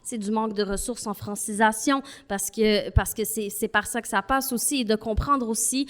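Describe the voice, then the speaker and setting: A woman's voice: on the high side, steady tone, moderate pace. Earnest woman. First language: not French. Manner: earnest, thoughtful, argues step by step. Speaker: panel guest. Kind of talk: panel discussion.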